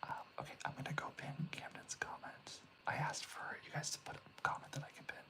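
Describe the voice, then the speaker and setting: A young man hosting a livestream who whispers throughout, chatting softly and reassuringly with his viewers. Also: brisk. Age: young adult. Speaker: ASMR livestream host. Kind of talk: whispered ASMR livestream chat.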